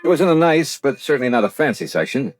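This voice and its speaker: An actor with an American accent playing a brisk, sceptical insurance investigator in an old-time radio detective drama, clipped and probing. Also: male voice, medium pitch, very animated, soft background music. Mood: wry narrating. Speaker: lead actor and first-person narrator.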